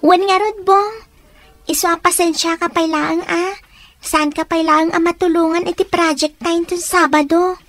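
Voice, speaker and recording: A woman's voice: very high voice, fairly even intonation, normal speed, a bit bright, no room sound, soft background music. A woman playing a young daughter in a radio drama, girlish, plaintive and childlike as she speaks to her father. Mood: earnest. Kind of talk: Ilocano radio drama acting.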